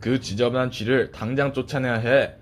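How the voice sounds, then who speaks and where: A man voicing a grumpy, suspicious pig in a children's storybook, with a gruff, accusing manner. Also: mid-range voice, steady tone, normal speed, lightly acted. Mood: scornful.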